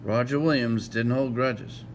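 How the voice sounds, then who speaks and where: Read-along host: American male, medium pitch, informal and a bit stumbling, light hum on the recording. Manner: halting delivery, stumbling and correcting himself. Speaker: read-along host reading a history book aloud. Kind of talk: informal read-aloud with casual asides.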